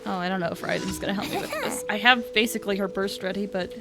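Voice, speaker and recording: A woman with a mid-pitched voice chats casually as she streams a game. She is playful, dropping small exclamations and sound words into her talk. Faint game music sits behind her. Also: fast. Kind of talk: casual chat while playing. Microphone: streaming microphone.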